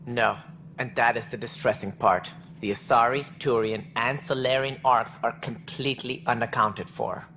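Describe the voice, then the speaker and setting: An actor playing a calculating, self-assured alien director in a video game, speaking in a measured, formal, condescending way. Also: male voice, mid-range voice, fairly even intonation, pushed and bright, a little hiss or hum. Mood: formal, grave. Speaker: director of a space initiative. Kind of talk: video game cutscene acting.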